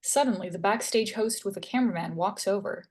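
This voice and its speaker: A woman's voice: medium pitch, a little fast, husky. A woman reading stage directions as scripted narration.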